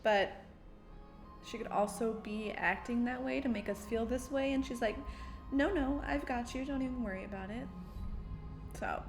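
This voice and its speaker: A woman's voice: on the high side, moderate pace, long pauses. A young woman doing casual gameplay commentary in a playful, sassy, slangy manner. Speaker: gaming video creator. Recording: voice over game music.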